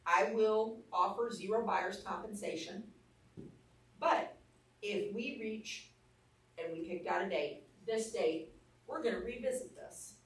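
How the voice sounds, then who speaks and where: A woman's voice: medium pitch, measured pace, pauses often. Blunt Arkansas woman teaching a class. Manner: blunt, candid storyteller. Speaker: real estate trainer. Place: training room. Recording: echoey room, mic far off.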